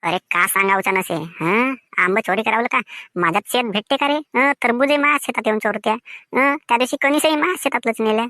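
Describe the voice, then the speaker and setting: Village farmer for cartoon comedy, woman acting: indignant scolder, piercing bright tone, dry sound, rustic Vidarbha accent. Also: on the high side, fast talker, dry recording. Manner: scolding, repetitive rhetorical questions. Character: indignant, scolding male village farmer guarding his fields.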